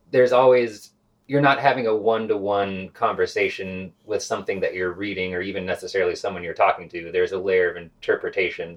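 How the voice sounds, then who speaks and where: Deep-voiced man, reflective podcast talk. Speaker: podcast panelist. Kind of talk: casual discussion.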